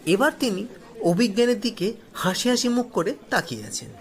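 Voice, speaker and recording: A man narrating a scripted horror story as a storyteller, delivering it dramatically and deliberately, phrase by phrase. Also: sits high, lively intonation. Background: faint background music.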